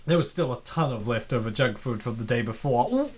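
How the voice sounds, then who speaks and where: A man with a lively, widely swinging voice narrates a comic dub. He breaks in with sarcastic, exclamatory reactions as a casual co-host. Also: medium pitch, a little fast.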